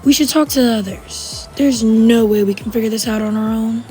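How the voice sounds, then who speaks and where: A woman plays an assertive young fairy in a fan roleplay drama. She has a moderately high voice and a questioning air, with music underneath. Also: moderate pace, warm tone, lightly acted. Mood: concerned. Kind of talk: amateur voiced roleplay acting.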